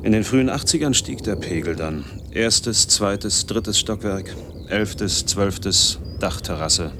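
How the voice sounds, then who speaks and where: Deep-voiced, brooding middle-aged man. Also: takes it slowly, background noise. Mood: grim, intense. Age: 40s. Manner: brooding, clipped fragments, literary phrasing. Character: lonely, noise-obsessed man in his mid-forties, bitterly brooding. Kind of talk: radio drama monologue.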